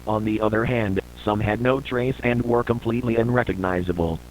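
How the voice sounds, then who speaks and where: A man reading scripted documentary-style narration for an analog horror piece, in a formal, measured report delivery. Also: fairly low pitch, steady tone, a little hiss or hum, low-quality recording.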